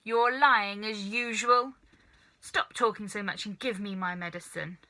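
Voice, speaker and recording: A woman's voice: medium pitch, measured pace, sharp tone. A woman voicing a cranky, bossy, wicked old grandmother from a children's storybook, snapping and scolding. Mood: snappish, bossy. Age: elderly.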